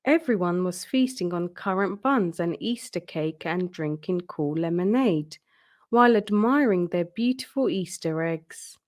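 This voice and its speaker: A woman reading a children's storybook aloud in an expressive, deliberate storytelling manner. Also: on the low side.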